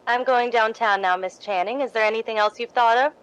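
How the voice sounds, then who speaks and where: A woman's voice: on the high side, fairly quick, clean tone, muffled recording, lightly acted. A woman playing an eager, deferential young assistant in a 1950s radio drama, polite and sweetly innocent. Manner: polite, ingenuous, eager to please.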